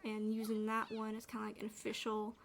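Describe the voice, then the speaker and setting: A soft-spoken woman chats in a hushed, soothing voice, rambling and restarting as she plays a game. Her pitch sits fairly high but barely moves. A faint hiss of noise runs under the close mic. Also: monotone, a little fast, vocal fry. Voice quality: naturally low, quiet and soothing. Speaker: gaming streamer. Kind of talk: soft-spoken gaming chat.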